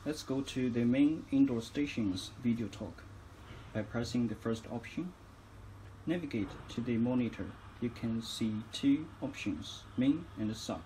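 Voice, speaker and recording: A man's voice: medium pitch, unhurried, dark tone, poor audio. Man narrating a tutorial over background noise. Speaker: product demonstrator.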